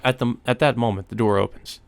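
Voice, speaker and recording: A man's voice: mid-range voice, fairly even intonation, a little fast, vocal fry. A man reading a visual novel aloud as narration for a playthrough, sometimes stumbling and rereading a line. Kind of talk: narration read aloud from a game. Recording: music behind the voice. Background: game music.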